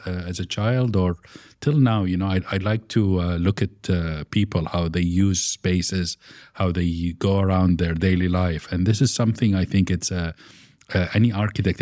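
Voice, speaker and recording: Low-voiced man, hesitant and halting. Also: darkish tone, dry recording, clean studio sound. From Iraq. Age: middle-aged. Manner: hesitant, many fillers and restarts. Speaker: architect guest. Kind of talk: conversational interview.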